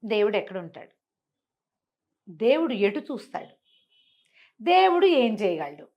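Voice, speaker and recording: A woman's voice: medium pitch, big pitch swings, a little slow, dull audio. Storybook sultan, courteous ruler setting a challenge, read by a woman; plain, unforced delivery. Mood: calm, testing. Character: Sultan, a courteous male ruler posing questions.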